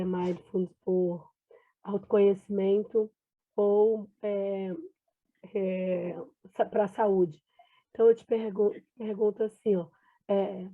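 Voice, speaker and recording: A woman asks her question slowly, pausing and searching for words, in a flat, level tone. The audio is thin and phone-like, as over a video call. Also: medium pitch. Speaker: audience member asking a question. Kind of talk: question in an online Q&A.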